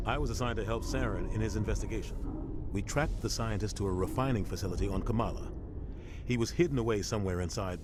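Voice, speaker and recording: An actor playing a bitter veteran soldier captain, speaking in a measured, grim tone. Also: male voice, fairly low pitch, close to an everyday voice. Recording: game cutscene with ambient noise.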